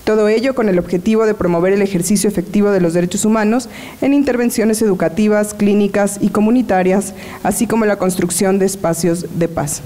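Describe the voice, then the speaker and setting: A woman's voice: mid-range voice, fairly quick, a little hiss or hum. A young woman reading a formal speaker introduction from a paper, outdoors beside a garden. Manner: steady formal reading, listing titles. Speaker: presenter.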